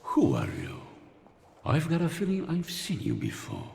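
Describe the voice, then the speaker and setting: An actor playing an aloof, dryly menacing governor general in a video game, gravelly and deliberate, a man of power. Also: fairly high pitch, huge pitch swings, a little mellow, long reverb tail, slight background noise, exaggerated voice. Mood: suspicious, dry. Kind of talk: story video game dialogue.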